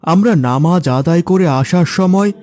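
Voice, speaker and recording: A man playing a kind, pious father in a cartoon, calm and instructive in manner. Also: fairly high pitch, a little slow, over music, close to an everyday voice. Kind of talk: cartoon voice acting.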